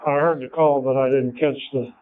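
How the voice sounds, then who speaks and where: A smooth, clear male voice at a middling pitch, heard through a thin, phone-like radio link. He talks like a ham operator in an on-air exchange. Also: steady tone, normal speed, recorded from a distance. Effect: radio transmission. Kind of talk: ham radio exchange with spelled call signs.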